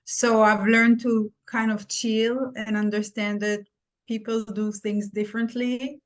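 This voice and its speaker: A woman's voice: a little high, flat delivery, a little slow. A middle-aged woman from Israel with an Israeli accent, chatting candidly and casually as an interview guest. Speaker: podcast guest, nurse practitioner.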